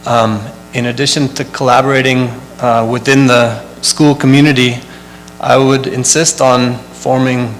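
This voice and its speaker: A man's voice: mid-range voice, level tone, a little slow, a little hiss or hum, low-quality recording. A man school board candidate answering at a forum, hesitant with many fillers.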